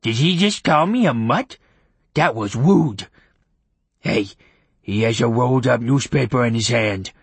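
A man voicing a guileless, cheeky talking pet hound in an audiobook, plain-spoken and eager, with a gravelly voice. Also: medium pitch, unhurried, long pauses, dry recording. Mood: indignant, eager. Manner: plain-spoken, naive, easily offended. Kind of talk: audiobook character acting.

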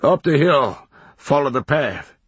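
Man as ragged old hermit, half mad, drawl, insistent, clipped and repetitive, pitched up, storybook character, very close dry sound. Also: sing-song, unhurried, a bit bright, breathy edge, dry recording, full character voice. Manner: clipped rustic speech, repeats himself emphatically. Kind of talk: audiobook character voice.

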